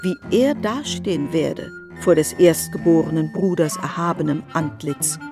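Expressive woman narrator over music. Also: low-pitched, unhurried. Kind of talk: dramatic literary narration for radio drama.

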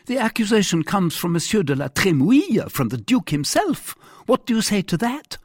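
A man's voice: high-pitched, sing-song, moderate pace, no room sound, studio quality, voice pushed up. A man voicing a petulant, self-pitying, hot-tempered French king in an audiobook, with querulous, theatrical outbursts. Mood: agitated, accusing. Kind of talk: dramatised audiobook character voice.

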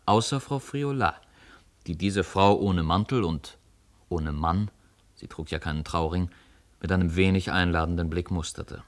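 A man's voice: low voice, unhurried. A man narrating a literary radio play from a script.

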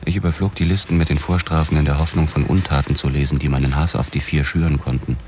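A hardboiled detective telling his own story. The voice sits extremely low. It is a brooding, weary noir narration made for a radio drama. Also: steady tone, fast, a little mellow. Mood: brooding, matter-of-fact. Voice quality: very deep voice.

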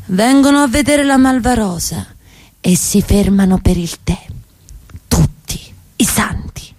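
A woman's voice: mid-range voice, animated, a little slow, a little mellow, dry recording. A woman playing an evasive, defiant, seductive middle-class wife in radio theatre, with a theatrical, insistent delivery that can drop to a whisper. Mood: taunting, defiant.

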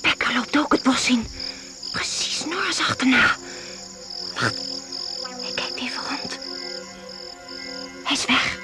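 An actress playing an alert, anxious doctor in a radio thriller, urgent and questioning. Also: female voice, high voice, slow pace, long pauses, over music. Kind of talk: radio drama acting.

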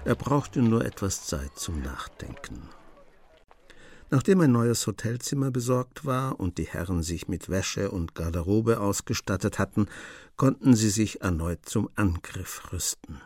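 A man's voice: on the low side, lively intonation, measured pace, darkish tone, no room sound. A man narrating a crime comedy radio play as a composed storyteller with dry, understated wit. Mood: composed, wry.

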